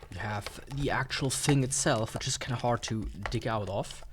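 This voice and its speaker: A man's voice: mid-range voice, normal speed, noisy background. A man with a mid-range voice talks through a product unboxing in an informal way. His delivery is sarcastic, with playful overstatement as he comments like a gadget reviewer.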